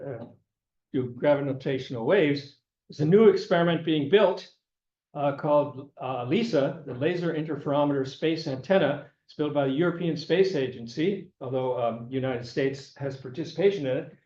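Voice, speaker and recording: An older male lecturer speaks in a slightly high voice, stopping and repeating himself and clearing his throat as his voice gives out. The sound is muffled and lo-fi, carrying the echo of a lecture room. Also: normal speed, warm tone. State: losing his voice. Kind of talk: classroom lecture. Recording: echoey room, dull lo-fi sound.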